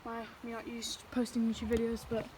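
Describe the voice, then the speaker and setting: A woman's voice: a little high, monotone, brisk, a little mellow, slight background noise, rough recording. A young woman in casual chat, talking into her phone outdoors while biking and walking, rambling and apologetic with many fillers, sometimes out of breath. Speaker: vlogger. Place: outdoors in the countryside. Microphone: phone held or carried.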